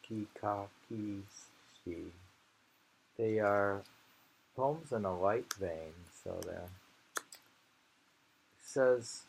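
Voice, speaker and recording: A man reading poetry aloud informally, with musing, halting commentary and frequent pauses, in an amateur recording. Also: on the low side, gravelly, slight background noise, rough recording.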